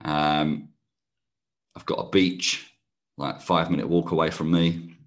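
A man from Kent, a podcast guest, talking in a relaxed, enthusiastic way with a slightly croaky voice. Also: rumbling low, unhurried. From Kent, England. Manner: relaxed, enthusiastic, laughs easily. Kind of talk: casual interview chat.

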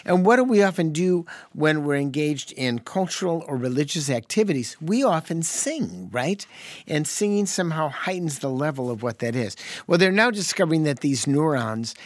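A man's voice: fairly high pitch, lively intonation, normal speed, no room sound. Man explaining, dry close studio sound. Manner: easygoing, explanatory, restarts mid-sentence. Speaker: doctor and co-host of a call-in health show. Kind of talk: radio call-in talk.

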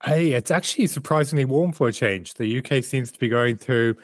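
A man's voice: medium pitch, brisk, no room sound, studio quality. A man in his thirties from South Africa, a performance coach and author answering interview questions in a candid, reflective way over a remote call.

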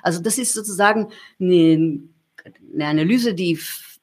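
German woman in an interview, lowish husky voice, circles round her thoughts, lots of restarts. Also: moderate pace, a little mellow. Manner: thoughtful, searching, many restarts and fillers. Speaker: interview guest. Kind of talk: reflective conversational interview.

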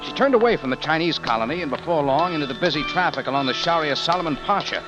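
A man's voice: on the high side, animated, fast talker, lo-fi sound, close to an everyday voice. An actor playing a hard-boiled, dogged adventurer who narrates his own story in a 1940s radio drama. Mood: tense narration. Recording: old radio broadcast with music behind.

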